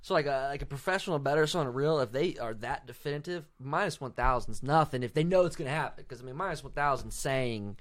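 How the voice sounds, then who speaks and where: Man, podcast co-host, reads betting lines, rambling explanations, average pitch. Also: fast, few pauses, fairly bright tone. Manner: explains odds at length, with fillers and restarts. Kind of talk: casual sports-betting chat.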